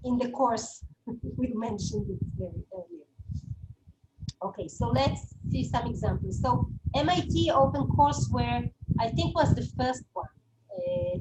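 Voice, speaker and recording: A woman's voice: a little high, background noise. A woman with a Hebrew accent, not a native English speaker, giving an online webinar lecture, hesitant, with many fillers and restarts.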